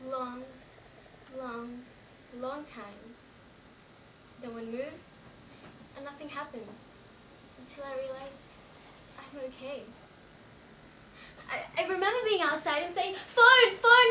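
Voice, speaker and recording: A young woman playing a shaken teenage girl in a dramatic monologue, telling her story in a colloquial, run-on way. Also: high voice. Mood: stunned, hushed, then panicked, pleading. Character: shaken teenage girl recounting a car crash. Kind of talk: dramatic stage monologue, first-person storytelling. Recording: echoey room, distant mic, faint noise.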